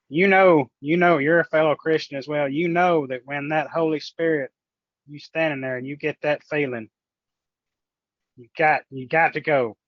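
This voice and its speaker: A man's voice: on the high side, dry recording, dull audio. A man speaking as a podcast guest in a casual, personal chat about faith, folksy and plainspoken.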